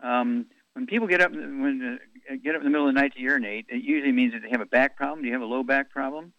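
A man's voice: mid-range voice, fast, dry recording, lo-fi sound. A man hosting a call-in health show on the radio, blunt and wisecracking, rattling off long lists of advice.

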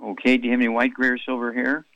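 A man hosting a radio health call-in show, telling rambling stories and firing brisk questions at callers. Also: medium pitch, completely flat delivery, a bit bright, dry recording, lo-fi sound.

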